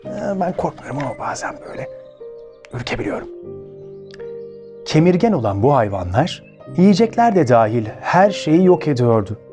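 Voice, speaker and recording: Male children's storytelling host, Turkish accent, mellow and slightly high, highly expressive, with music underneath. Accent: Turkey Turkish. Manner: expressive, addresses listeners as friends. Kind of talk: children's storytelling with direct questions to listeners.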